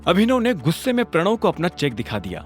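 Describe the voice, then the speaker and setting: A man's voice: sits high, wildly swooping pitch, fairly quick. A man narrating a scripted audio drama in a dramatic storytelling style. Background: music.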